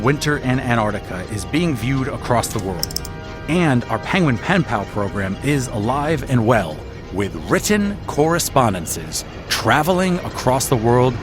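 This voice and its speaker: Enthusiastic man hosting a podcast. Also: medium pitch. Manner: enthusiastic, self-deprecating, stumbles on words. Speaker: podcast host. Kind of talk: scripted intro then casual chat. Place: home closet.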